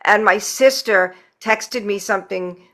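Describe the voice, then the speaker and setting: A woman's voice: medium pitch, measured pace, fairly bright tone. A woman hosting a podcast in casual chat, speaking in an effusive, grateful way. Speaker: podcast host. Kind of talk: casual chat, wrapping up a group podcast interview.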